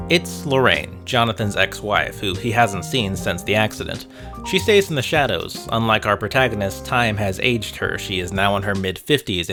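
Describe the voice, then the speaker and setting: A man narrating a scripted video essay in a dry, wry manner. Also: lowish voice. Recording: music bed behind voice. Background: background music with guitar.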